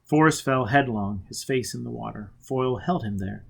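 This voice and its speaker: A man's voice: medium pitch, moderate pace, gravelly, a bit bright. A man reading a novel aloud as dramatic scripted narration. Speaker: audiobook reader.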